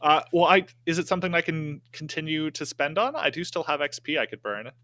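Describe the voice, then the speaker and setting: A man's voice: a little high, fairly quick, bright, forceful tone, dry recording. A man playing a tabletop game, chatting casually and hesitantly as he thinks aloud.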